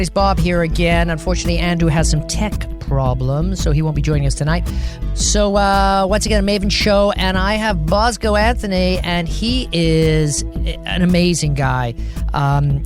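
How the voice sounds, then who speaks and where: A man hosting a podcast, chatting loosely and introducing his guest with fillers and restarts. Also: a little high. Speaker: podcast host.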